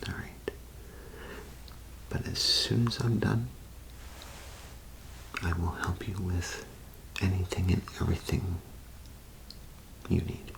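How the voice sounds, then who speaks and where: A man whispering in a breathy, creaky voice, playing a polite, unhurried clerk in an ASMR role play. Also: deep voice, rough recording. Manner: unhurried, polite customer-service patter.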